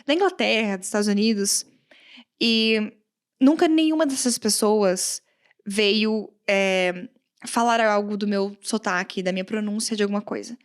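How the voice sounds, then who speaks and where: A woman from Brazil with a countryside accent, a guest English teacher chatting casually on a podcast, animated, often laughing and acting out quoted comments. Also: mid-range voice, measured pace, clear, resonant tone. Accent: Brazilian Portuguese, countryside.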